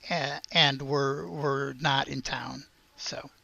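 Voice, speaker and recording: A game master narrating a tabletop roleplaying game in a halting way, searching for words. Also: medium pitch, steady tone, creaky, sharp tone, muffled recording.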